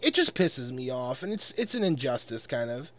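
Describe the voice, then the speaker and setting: A man's voice: mid-range voice, big pitch swings, normal speed, fairly bright tone. A man delivering an angry, off-the-cuff rant in a profane, exasperated and emphatic way. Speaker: online commentator recording an audio log.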